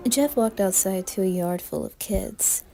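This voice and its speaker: A woman's voice: medium pitch, measured pace, gravelly, dark tone, soft background music. A woman reading scripted horror narration as a storyteller.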